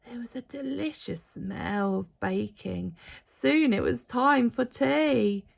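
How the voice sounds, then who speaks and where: A woman's voice: fairly high pitch, animated, slow pace, gravelly. A woman reading a children's picture book aloud expressively, with dramatic emphasis on key words. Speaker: storybook reader.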